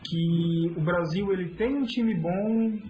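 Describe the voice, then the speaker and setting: A man with a high voice hosts a podcast interview, asking chatty, friendly questions that wander before getting to the point. He is from Santa Catarina in Brazil. The sound is muffled, with faint music in the background. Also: slow, mellow. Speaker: podcast host.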